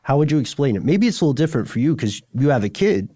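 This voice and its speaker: Male podcast host, medium pitch, conversational and discursive, recorded very dry. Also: very animated, racing, mellow, no echo. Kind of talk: casual conversational podcast talk.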